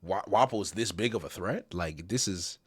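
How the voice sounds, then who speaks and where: A man's voice: low-pitched, normal speed, slightly rough. A man co-hosting a casual review chat, laid-back, trailing off into mumbles. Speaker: co-host.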